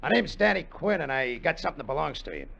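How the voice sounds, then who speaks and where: An actor playing a brash, pushy gambler turned treasure hunter in slangy, hard-boiled 1950s radio drama style. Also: male voice, a little high, very animated, quick pace, vocal fry, heavily acted. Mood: casual, sly. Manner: slangy, insistent, tough-guy talk. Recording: dull vintage radio recording with loud music.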